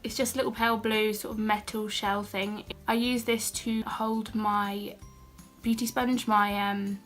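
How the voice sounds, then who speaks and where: A young British woman talking through a cold, her voice a little croaky and her pitch fairly flat. She chats in a relaxed, self-deprecating way, full of fillers and side remarks, with faint music in the background. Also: measured pace. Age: young adult. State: has a cold, a bit croaky. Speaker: beauty and haul vlogger. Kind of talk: casual haul vlog chat.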